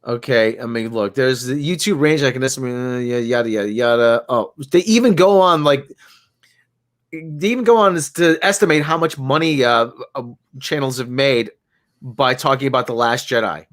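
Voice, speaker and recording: A man's voice: mid-range voice, moderate pace. A man on a casual talk panel, sputtering and repeating himself in exasperation.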